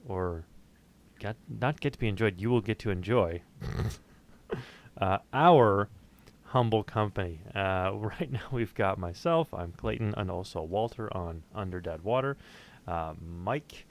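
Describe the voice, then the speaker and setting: A man with a fairly low voice chats as a podcast host. His delivery is casual and loose, full of joking, profane banter. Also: expressive, measured pace. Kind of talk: casual livestream chat.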